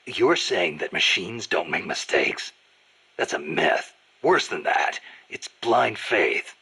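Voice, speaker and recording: A man playing a gruff, battle-hardened American soldier in a video game, skeptical, terse and blunt, with a raspy growl. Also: sits low, lively intonation, slow pace, bright, forceful tone, full character voice. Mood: skeptical, challenging. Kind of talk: video game dialogue acting.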